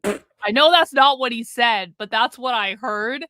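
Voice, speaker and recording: Woman host, high and bright voice, joking around, dry close sound. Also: a little slow, slight background noise. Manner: joking, incredulous banter. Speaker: livestream host. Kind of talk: casual reaction chat.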